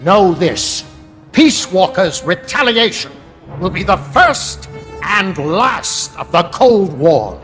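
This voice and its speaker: An actor playing a zealous, calculating middle-aged American intelligence chief, delivering grandiose, emphatic lines in a dramatic video game. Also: male voice, high voice, very animated, takes it slowly, a bit bright, faint music behind, exaggerated voice.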